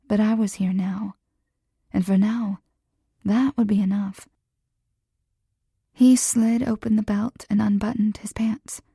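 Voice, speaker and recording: Breathy whispering woman narrator. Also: medium pitch, no echo, studio quality. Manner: soft, breathy whisper throughout. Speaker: audiobook narrator. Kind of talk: scripted first-person romance narration.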